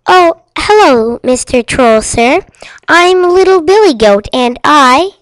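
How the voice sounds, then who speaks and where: A woman playing a fearless, hungry young male billy goat in a storybook tale, with a simple, eager, slightly halting delivery. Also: high voice, lively intonation, dry recording, low-quality recording. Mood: polite, pleading.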